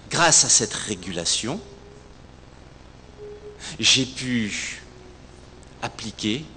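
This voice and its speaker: A man's voice: lowish voice, bright, forceful tone. Echoey male preacher. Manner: rhetorical, emphatic, with deliberate repetition and pauses. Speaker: pastor. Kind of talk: sermon. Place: large reverberant hall, speaking to a congregation. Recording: echoey hall, long reverb, some background noise.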